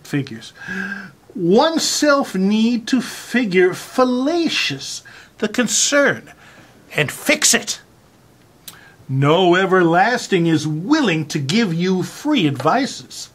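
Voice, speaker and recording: A performer reads aloud in a mock-dramatic style, in a mid-pitched voice that swoops widely up and down. The delivery is slow and theatrical, with exaggerated emphasis and deliberate pauses. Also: sing-song, a bit bright. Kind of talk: mock-dramatic reading of a garbled text.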